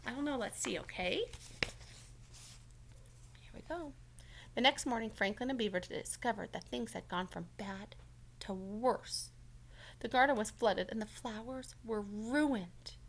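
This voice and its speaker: A woman's voice: a little high, a little slow, pauses often. A woman reading a children's story aloud as a teacher, expressively, with simple explanatory asides to young listeners.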